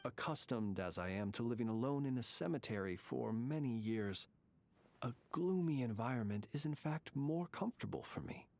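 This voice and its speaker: An actor playing a courteous, solemn gentleman in a video game, speaking in formal, ornate and measured phrases. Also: mid-range voice, expressive, gravelly. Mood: courteous, gracious. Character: courteous, solemn gentleman who lived alone in a cemetery. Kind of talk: scripted video game character dialogue. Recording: game music behind the voice.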